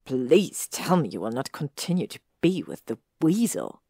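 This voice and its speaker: A woman reading a fan story aloud, voicing an arrogant, possessive young male wizard in a lowered, clipped drawl. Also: sing-song, unhurried, darkish tone, dry recording, studio quality, exaggerated voice.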